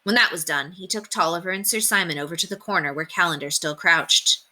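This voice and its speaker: Woman reading a kids book out loud, expressive, lowish pitch, really bright tone. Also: brisk. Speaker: read-aloud storyteller. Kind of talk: expressive storybook narration.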